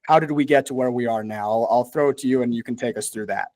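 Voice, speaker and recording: A man's voice: mid-range voice, fast, a little mellow, no echo. Laid-back guy hosting a podcast, friendly, says um a lot, dry close mic. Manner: friendly, easygoing, hesitant fillers. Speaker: podcast host. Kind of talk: casual interview hosting.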